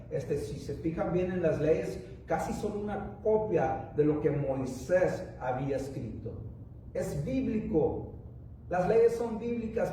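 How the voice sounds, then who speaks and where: A man's voice: on the high side, mellow, heavy reverb, distant mic, a little hiss or hum, poor audio. A man preaching a sermon, a native English speaker who halts and restarts often as he speaks. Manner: rambling, halting with many restarts and fillers. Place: church.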